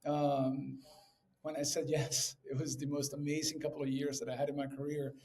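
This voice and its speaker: A middle-aged man from Colombia, slightly high-voiced, talks through his career as a podcast guest. He rambles warmly with many fillers and animated asides. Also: normal speed. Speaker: podcast guest, creative director. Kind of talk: casual interview talk.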